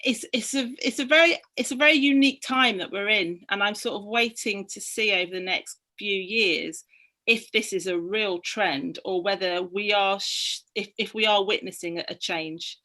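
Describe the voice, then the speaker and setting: A woman's voice: mid-range voice, normal speed, pushed and bright. A middle-aged woman from Barbados, a guest in a conversational discussion, speaking earnestly and passionately while thinking aloud. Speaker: guest, book activist.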